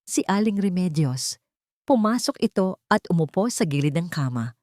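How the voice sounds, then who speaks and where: A woman reads a dramatic story as a narrator. Her voice sits slightly low with a lively, swooping melody, and the recording is dry and close. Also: moderate pace, no echo. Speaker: audiobook-style story narrator.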